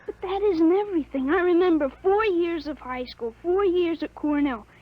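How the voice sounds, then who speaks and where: A woman playing a thirteen-year-old boy with a grown man's mind in a 1950s radio drama, speaking calmly and matter-of-factly on a dull, lo-fi old recording. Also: extremely high pitch, normal speed. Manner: calm, matter-of-fact, self-assured.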